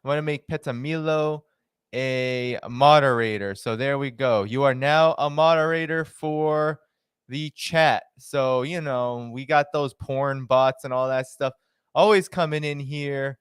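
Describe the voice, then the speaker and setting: A man hosting his own live stream, chatting casually, greeting viewers by name and filling pauses with "uh" and "um.". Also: medium pitch, clean tone, dry recording, clean studio sound. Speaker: livestream host. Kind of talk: casual livestream chat.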